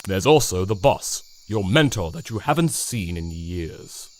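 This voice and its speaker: A man's voice: sits low, very animated, unhurried, background noise, full character voice. A man playing a dry, officious commander giving comic mission briefings in a wry, condescending way. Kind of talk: comedic mission briefing in a parody dub.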